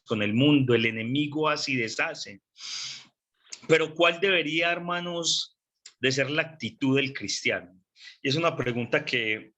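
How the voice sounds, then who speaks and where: A man preaching a sermon, congested with a cold, speaking slowly and deliberately with repeated phrases and frequent throat clearing. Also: medium pitch, sharp tone.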